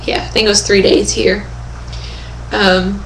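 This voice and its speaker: A young woman speaks slowly and casually into her webcam at home, her voice hoarse and fading. She fills her talk with pauses and keeps clearing her throat. The room echoes around her, with a faint hum of ambience behind. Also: mid-range voice, little pitch movement, fairly bright tone. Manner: chatty, many fillers and throat clearing. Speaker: vlogger. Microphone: webcam microphone.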